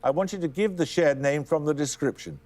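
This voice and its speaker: A man hosting a televised quiz in a crisp, dry, formal manner, reading questions. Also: on the high side, fairly quick, darkish tone. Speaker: quiz show host.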